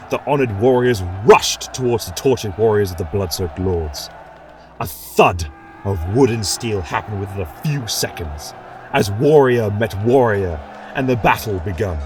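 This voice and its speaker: A man giving measured, dramatic narration of a fantasy story. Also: fairly low pitch. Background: faint music.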